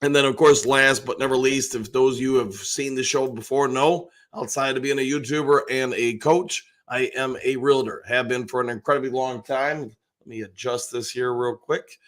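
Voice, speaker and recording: Hoarse, folksy older man. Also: mid-range voice, fairly even intonation, normal speed, fairly bright tone. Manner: rambling, folksy, lots of fillers. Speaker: host. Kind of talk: casual live-stream chat.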